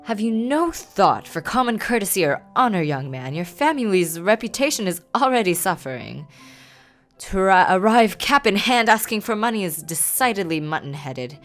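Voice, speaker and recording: A woman's voice: mid-range voice, big pitch swings, normal speed, faint music behind, lightly acted. A woman voicing an older Regency uncle, stern but kindly, with formal, proper period speech, for a game. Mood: scolding.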